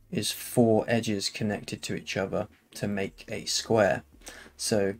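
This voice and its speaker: A man with a slightly croaky, lowish voice talks slowly through a screen-recorded software tutorial. His explaining is relaxed and unscripted, full of fillers. Faint music sits under his voice from a desktop mic. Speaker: game engine tutorial host.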